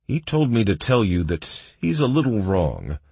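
A man's voice: low voice, big pitch swings, moderate pace, creaky, breathy edge, no echo, exaggerated voice. A man voicing a cheerful, obliging guard in a fantasy audiobook. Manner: happy, obliging. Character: cheerful, chatty male guard delivering a taunting message.

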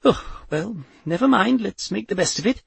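A man's voice: high voice, animated, normal speed, creaky, husky, no echo, pitched above the performer's own voice, full character voice. A man voicing a brash, grumbling old aunt. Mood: indignant. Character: feisty, foul-mouthed older woman, an aunt. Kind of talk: audiobook character voice.

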